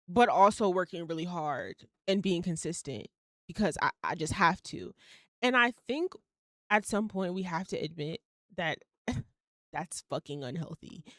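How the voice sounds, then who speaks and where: Young woman in her twenties rambling on her own podcast, lots of likes and ums, smooth clear voice, dry close sound. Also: on the low side, no room sound. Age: young adult. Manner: rambling, lots of fillers, swears casually, laughs mid-sentence. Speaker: podcast host. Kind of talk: casual solo podcast monologue.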